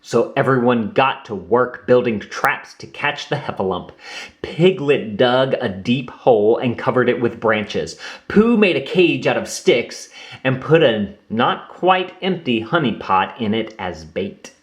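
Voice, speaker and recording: Male read-aloud narrator for kids, unhurried and highly expressive. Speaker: storybook reader. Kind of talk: reading a children's book aloud.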